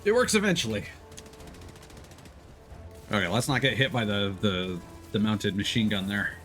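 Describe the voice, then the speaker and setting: A man's voice: fairly low pitch, expressive, normal speed, a bit bright, soft background music. A man doing casual, playful live commentary as he plays a game, full of exclamations and little muttered sound effects. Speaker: livestream gamer, host.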